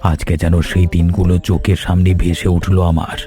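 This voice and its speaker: A man doing a kind old village elder in a cartoon, low mellow voice, quick talker, gentle and fatherly, soft music underneath. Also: little pitch movement, natural voice. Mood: nostalgic. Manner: gentle, fatherly, calls others "ma". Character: kindly elderly village man, warm and approving. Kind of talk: animated cartoon dialogue.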